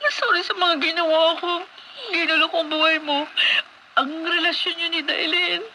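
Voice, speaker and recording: A woman's voice: very high-pitched, steady tone, moderate pace, pushed and bright, a little hiss or hum, heavily acted, low-quality recording. An actress playing a spoiled, pleading young pregnant daughter in a radio drama, whiny and dramatic, mixing English into her speech. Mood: remorseful.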